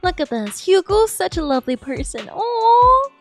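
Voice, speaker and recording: A woman reacting live to a game as a streamer, chatty and exclamatory. Her high voice jumps around a lot, with faint music behind it. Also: very animated, normal speed. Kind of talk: casual live reaction commentary.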